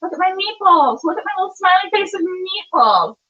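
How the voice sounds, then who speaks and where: A woman's voice: very high voice, animated, a little fast, pushed and bright. A woman hosting a casual, chatty cooking show, rambling playfully and talking to herself as she goes. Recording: echoey room, distant mic, dull sound.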